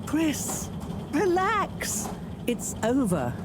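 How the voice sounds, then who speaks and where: Bossy game scientist lady, high voice, really animated and exasperated, noisy background. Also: extremely slow, exaggerated voice. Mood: dry, resigned. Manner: theatrical, exasperated, emphatic. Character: brisk, exasperated woman scientist, a sharp-tongued professor. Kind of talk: video game voice acting.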